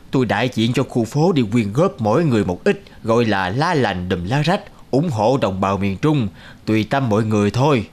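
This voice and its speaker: A man's voice: medium pitch, natural voice. A man voicing an elderly, principled neighbourhood leader in a cartoon, explaining things earnestly. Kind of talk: animated cartoon dub.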